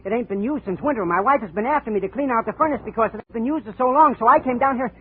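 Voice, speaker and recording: A man's voice: extremely high pitch, racing. An actor playing a nervous, shady small-time man in a 1950s radio drama, insistent and slangy. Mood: defensive. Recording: tinny, noisy old radio recording.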